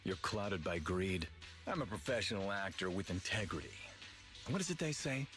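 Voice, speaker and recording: Deep, pompous tiger star. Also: male voice, expressive, normal speed. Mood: disdainful. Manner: pompous, grandiose. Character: proud, disdainful veteran tiger actor. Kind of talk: theatrical game voice acting. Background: game music.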